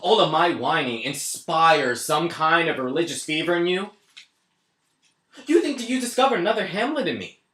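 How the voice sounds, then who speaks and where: A man's voice: fairly low pitch, expressive, slow pace, pauses often. A man playing a guilt-ridden, self-loathing husband. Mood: anguished. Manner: brooding and bitter, moves from hushed to anguished outbursts. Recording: echoey room.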